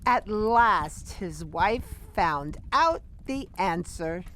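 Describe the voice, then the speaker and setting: A woman narrating an audio drama as a storyteller, with measured, deliberate delivery. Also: mid-range voice, lively intonation, fairly bright tone, slight background noise. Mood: storytelling.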